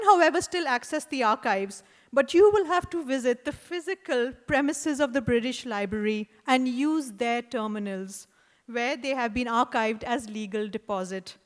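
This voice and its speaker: A woman with an Indian accent, an artist reading a prepared lecture in a measured, deliberate way, with wry asides and hesitant fillers. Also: fairly high pitch. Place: lecture hall at an art event. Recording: long hall reverb.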